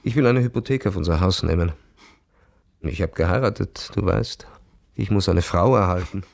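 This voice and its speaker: A man's voice: sits low, moderate pace, creaky. An actor playing a reserved, earnest man who narrates his own story in a radio play, restrained and sober. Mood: earnest, subdued. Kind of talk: literary radio play, first-person narration.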